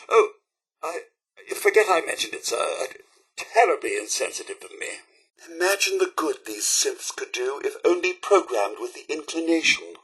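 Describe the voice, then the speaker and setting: A man voicing a fussy, polite and anxious robot butler in a video game, with a British accent and a prim, theatrically expressive manner. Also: on the high side, breathy edge, heavily acted. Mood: wistful, apologetic, then musing. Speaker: video game companion character. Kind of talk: video game companion voice lines.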